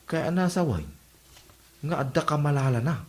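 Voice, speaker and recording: A man's voice: a little high, fairly quick, close to an everyday voice. A man playing an easygoing, bantering big-brother street sweeper in an Ilocano comedy drama. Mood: coaxing.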